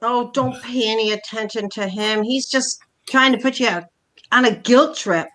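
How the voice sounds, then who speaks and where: A woman's voice: a little high, unhurried, fairly bright tone. A woman playing a blunt, exasperated middle-aged mother and wife in an amateur staged play reading, brusque and matter-of-fact.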